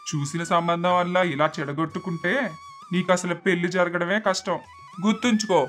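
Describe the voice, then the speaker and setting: Stern middle-aged cartoon father played by a man, sarcastic scolding, higher-pitched, music bed. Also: steady tone, moderate pace, fairly bright tone. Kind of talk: moral story cartoon voice acting. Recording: constant background music.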